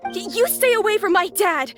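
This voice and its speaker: A woman's voice: very high voice, animated, moderate pace, fairly bright tone, soft background music. A woman playing a feisty, earnest, protective little child in a video game. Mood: defiant.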